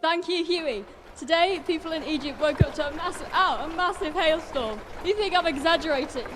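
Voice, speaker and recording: A woman's voice: very high voice, moderate pace, over music. A woman playing a flustered on-the-scene correspondent, giving scripted mock news reports in a dramatic, plaintive manner. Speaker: field reporter. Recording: reverberant, noisy ambient field sound.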